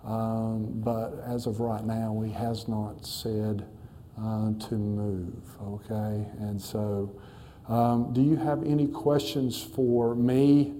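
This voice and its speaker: Dark-voiced missionary pastor. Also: male voice, lowish voice, completely flat delivery, a little hiss or hum. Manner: folksy, halting with many fillers. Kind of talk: church talk to a congregation. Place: church.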